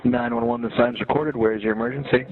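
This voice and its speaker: A man's voice: medium pitch, steady tone, very noisy. A man working as an emergency dispatcher, asking procedural questions over a tinny recorded phone line. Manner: brisk, procedural. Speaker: 911 dispatcher. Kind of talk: emergency call handling. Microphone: telephone.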